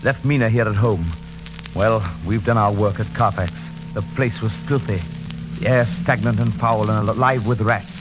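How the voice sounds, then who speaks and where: A man's voice: lowish voice, fairly even intonation, moderate pace, over music, natural voice. An actor playing an earnest, determined young husband reading his journal in an old radio drama, in terse, dramatic phrases. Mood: weary, tense. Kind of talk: 1930s radio drama narration. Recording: muffled vintage radio recording.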